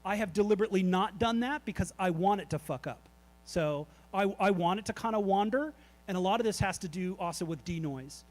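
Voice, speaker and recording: A man's voice: sits high, brisk, darkish tone. A man speaking loosely and candidly at a meetup, answering audience questions about his creative tech work with plenty of fillers. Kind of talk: casual presentation Q&A. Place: event space at a meetup.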